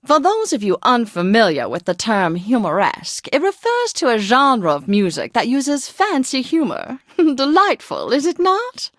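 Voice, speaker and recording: A woman's voice: on the high side, huge pitch swings, measured pace, hardly any pauses, no echo, clean studio sound. An actress playing a refined, gushing woman who hosts classical radio with prim, old-fashioned theatrical diction. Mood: refined, chatty. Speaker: radio host. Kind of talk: scripted classical radio DJ patter.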